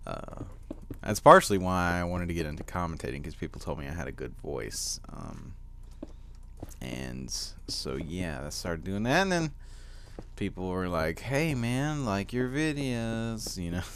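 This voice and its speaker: A teenage boy with a deep voice giving casual gameplay commentary, rambling with lots of fillers and easy laughs. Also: big pitch swings, measured pace, fairly bright tone, slight background noise. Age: teens. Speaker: gaming commentator.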